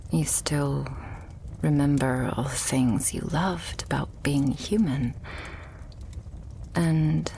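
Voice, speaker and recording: A woman playing a loving, possessive vampire girlfriend in an intimate ASMR roleplay, tender and hushed, with breathy sighs between phrases. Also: deep voice, a little hiss or hum, heavily acted.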